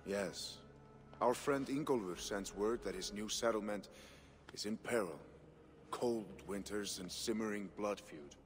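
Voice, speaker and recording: An actor playing a Viking leader planning an aid voyage, earnest and welcoming, in a video game cutscene. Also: male voice, fairly low pitch, a little slow, in a large hall, soft background music, natural voice.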